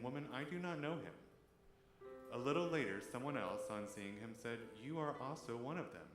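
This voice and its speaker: A man giving a dramatic reading of scripture and first-person monologue at a church service. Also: medium pitch. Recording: echoey church with music behind.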